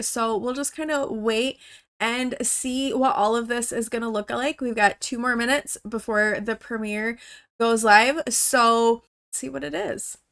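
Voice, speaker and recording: A woman's voice: fairly high pitch, fairly bright tone. A woman YouTuber, still congested from a cold, chatting casually as she reacts to a game trailer. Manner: chatty, with many "like" fillers.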